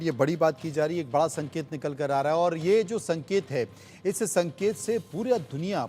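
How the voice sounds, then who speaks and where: Higher-pitched male news anchor, emphatic. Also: darkish tone, a little hiss or hum. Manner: emphatic, repeats phrases for effect. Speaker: news anchor hosting a panel. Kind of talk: live news discussion.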